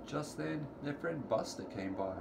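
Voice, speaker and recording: A middle-aged man reading a children's picture book aloud to preschoolers in deliberate, sing-song storytelling phrasing, recorded in a room at home. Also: fairly high pitch, animated. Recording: clearly noisy home recording, room ambience.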